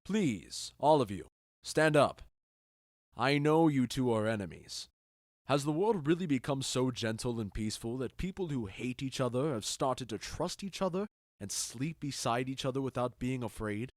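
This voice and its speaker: A man's voice: mid-range voice, expressive, normal speed, dry recording, clean studio sound, natural voice. A man playing a genial, commanding duke in a Shakespeare audio drama, stately and authoritative. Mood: amused, curious.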